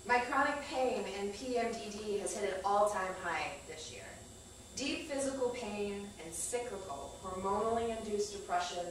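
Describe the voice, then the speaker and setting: Woman, thirties, poetic deliberate reading, distant mic, faint noise. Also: mid-range voice, very echoey. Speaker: spoken-word storyteller on stage. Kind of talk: rehearsed dramatic personal monologue read to an audience. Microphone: far camera microphone.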